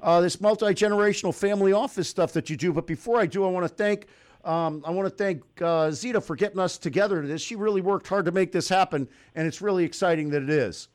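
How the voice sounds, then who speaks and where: A man's voice: high-pitched, fast. A man hosting a live business radio show, interviewing a guest in an upbeat, conversational way. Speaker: radio talk show host.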